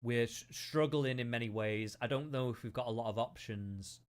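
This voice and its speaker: Rambling British podcast host. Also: male voice, mid-range voice, normal speed, clean tone. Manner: rambling, opinionated, stop-start. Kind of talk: casual chat.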